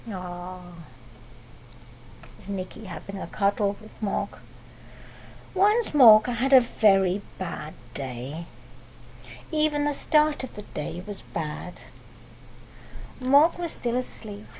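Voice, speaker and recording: A woman reading a picture book aloud to young children, pausing to explain things simply and ask them questions. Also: medium pitch, sing-song, creaky, warm tone, slight background noise, low-quality recording. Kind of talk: read-aloud picture book storytelling with asides.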